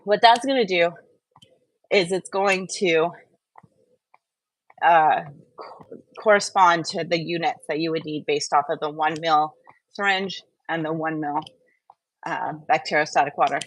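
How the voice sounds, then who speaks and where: Female livestream host, slightly low voice, dry close sound, conversational step-by-step explainer. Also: fairly even intonation, measured pace, pauses often, no echo, poor audio. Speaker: host of a live wellness stream. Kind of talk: casual explanatory livestream talk.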